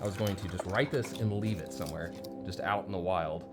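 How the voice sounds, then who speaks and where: A man with a low voice speaks informally, as a creator addressing his audience. He rambles and pauses to think aloud, keeping things conversational. Music plays clearly behind his voice. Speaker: storytelling channel creator and host. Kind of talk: casual, rambling thank-you vlog to listeners. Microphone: phone video recording.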